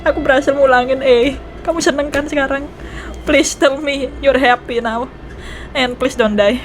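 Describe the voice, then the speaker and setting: A young woman with an Indonesian accent giving casual, expressive and emotional gameplay commentary, mixing languages. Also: sits high, normal speed. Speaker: game streamer. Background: game music.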